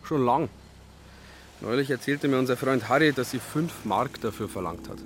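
An actor playing a gossiping, condescending count in a radio drama. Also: male voice, mid-range voice, normal speed, lightly acted. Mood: disdainful. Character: gossiping, disdainful gentleman count. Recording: faint vehicle and ambient noise. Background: faint vehicle ambience.

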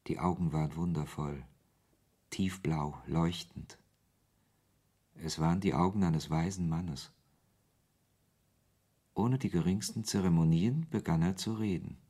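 An actor playing a wry author who narrates a radio play in the first person, in a husky voice. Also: male voice, deep voice, measured pace, pauses often, dark tone, full character voice. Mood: wry narration. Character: male author narrating his absurd encounter, wry and irritated.

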